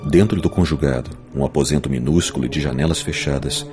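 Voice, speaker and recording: An extremely low-voiced man narrates fiction from a script in a dramatic storytelling style. Music plays clearly underneath his voice. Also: fast talker. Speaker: audiobook narrator.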